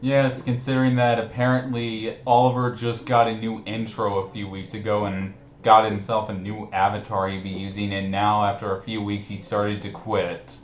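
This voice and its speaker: Male commentary host, lower voice, mocking casual chat, roomy recording with background noise. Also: little pitch movement, normal speed, few pauses. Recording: echoey room with clear background noise.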